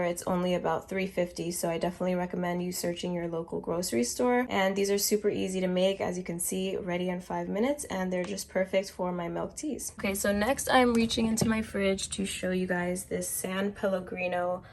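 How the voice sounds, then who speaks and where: A woman's voice: mid-range voice, a little mellow. A young woman vlogger chatting through her favorite things at home, a little congested from a cold. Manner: chatty, upbeat product recommendations. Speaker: lifestyle vlogger. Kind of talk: casual walkthrough vlog. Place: home apartment, kitchen.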